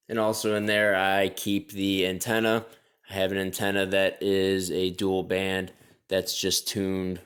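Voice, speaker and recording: A man's voice: on the low side, a bit bright. A young man hosting his own channel, walking through a homemade emergency radio kit in a plain, matter-of-fact way. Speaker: host. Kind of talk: casual gear walkthrough.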